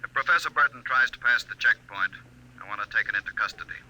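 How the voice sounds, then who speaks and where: A piercing, fast male voice comes through like an intercom. He is a bullying director who barks threatening commands in 1950s radio drama style. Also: medium pitch, bright, forceful tone, low-quality recording. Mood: curt.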